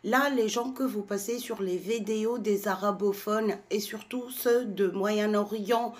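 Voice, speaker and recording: Slow, indignant Tunisian woman. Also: mid-range voice, steady tone. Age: 40s. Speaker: French teacher. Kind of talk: ranting to camera.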